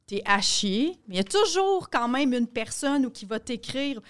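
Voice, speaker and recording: A woman comedian with a Quebec French accent, chatting casually as a podcast guest with many quick agreeing fillers. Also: on the high side, very animated, a bit bright.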